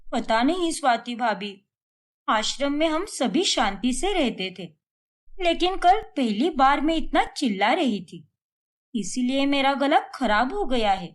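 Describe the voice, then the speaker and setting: A woman doing a sly young daughter in a storybook cartoon who turns everything into drama. The voice is high and pleading, slow and sing-song, with wide swings in pitch. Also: lively intonation. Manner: pleading, theatrical.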